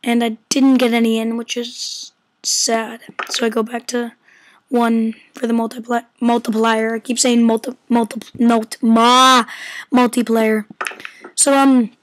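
A hoarse-voiced woman who is losing her voice from illness rambles in casual let's-play commentary at home, full of fillers and stumbles. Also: a little high, little pitch movement, measured pace. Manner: rambling, filler-heavy, stumbles over words. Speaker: gameplay commentator. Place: a room at home.

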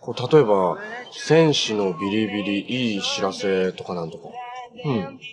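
An actor playing a cheerful, earnest, easygoing young hero in a TV drama, talking in a casual, boyish way. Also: male voice, on the low side, expressive, normal speed, crowd noise, lightly acted. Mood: pondering, casual. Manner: casual, friendly, boyish phrasing. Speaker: actor in a TV superhero series. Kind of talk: naturalistic TV drama acting.

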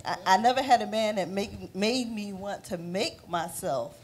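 A woman's voice: mid-range voice, moderate pace, slight background noise. Woman telling a personal story. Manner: storytelling, acts out her own inner voice. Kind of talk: casual panel interview.

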